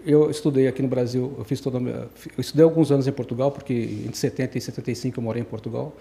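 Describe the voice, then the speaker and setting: Man in his 60s, podcast guest; quick, mellow, rambling delivery that keeps self-correcting. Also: medium pitch, steady tone, gravelly. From Brazil. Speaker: guest engineer. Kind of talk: casual podcast interview.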